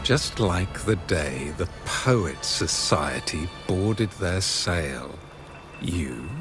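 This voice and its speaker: Villainous zealot, grandiose, mocking, slow, highly animated pitch, video game drama, loud music behind. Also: male voice, exaggerated voice. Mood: mocking, fanatical. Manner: taunting, grandiose, drawn-out delivery. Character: scornful male zealot villain, mocking and theatrical.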